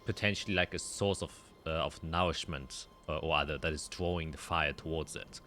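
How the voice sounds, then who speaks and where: A man narrating a tabletop roleplaying game as game master, explaining in a halting way and often rephrasing. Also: a bass voice, sharp tone, slight background noise.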